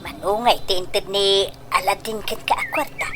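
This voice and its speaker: Comedy radio drama: scheming mother, middle-aged actress, Ilocano accent, gushing and animated, slow drawn-out delivery. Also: mid-range voice, over music, lightly acted. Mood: pushy, coaxing. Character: scheming, pushy, greedy middle-aged mother, comically effusive.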